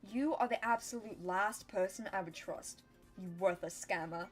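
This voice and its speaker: A woman voicing a serious teenage girl with a hoarse voice from a cold, flat and irritated. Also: mid-range voice, moderate pace, soft background music, lightly acted.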